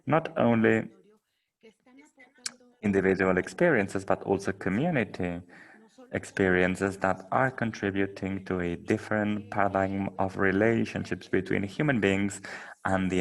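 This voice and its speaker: A man's voice: sits low, rough recording. Colombian man, moderating and interpreting. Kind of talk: formal panel talk with spoken interpretation.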